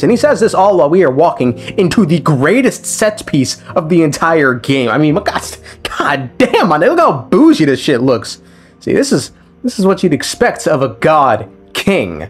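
A man narrating a story recap of game lore as casual commentary, irreverent and slangy, swearing freely. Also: a little high. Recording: music under the voice. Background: background music.

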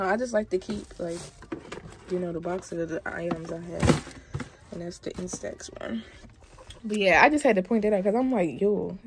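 A woman's voice: fairly low pitch, heavy background noise. A young woman vlogging casually as she cleans her room, rambling and talking straight to her viewers. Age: young adult. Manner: chatty, rambling, addresses viewers. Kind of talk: casual chat.

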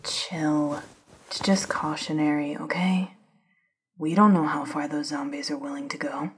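Woman acting a husky, low-voiced defiant woman. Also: flat delivery, unhurried, full character voice. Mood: stubborn, determined. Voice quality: husky, breathy. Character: defiant, reckless, foul-mouthed woman bent on pursuit. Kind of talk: ASMR audio drama acting.

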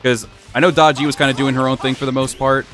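A young man chatting through a casual let's-play, rambling with many fillers, his voice a little hoarse and wearing thin. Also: medium pitch, little pitch movement, fast, a bit bright, soft background music. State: slightly hoarse, losing his voice. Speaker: gaming channel host.

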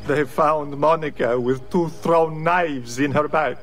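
A man's voice: fairly high pitch, fairly even intonation, slow pace, a bit bright, faint music behind. An actor playing a grieving man in a video game, pleading and sorrowful as he speaks of his murdered fiancée.

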